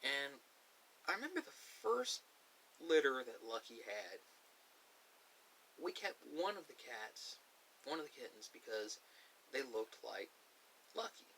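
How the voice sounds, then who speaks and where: A middle-aged man telling a personal story in a reflective way, halting often between short phrases. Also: mid-range voice, very animated, bright, forceful tone, low-quality recording. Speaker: ASMR video creator. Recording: clear background hiss.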